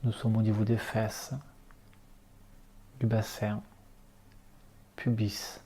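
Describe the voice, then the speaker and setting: A man leading a guided relaxation meditation as an instructor, speaking calmly in short, unhurried instructions. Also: on the low side, slight background noise. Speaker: yoga nidra relaxation instructor.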